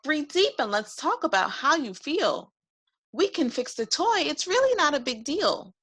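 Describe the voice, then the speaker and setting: Woman voicing a high-pitched, gentle little boy. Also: sing-song, measured pace, heavily acted. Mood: apologetic, soothing. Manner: high-pitched, earnest, soothing. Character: gentle, patient little boy, caring best friend. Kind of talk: children's storybook character voice.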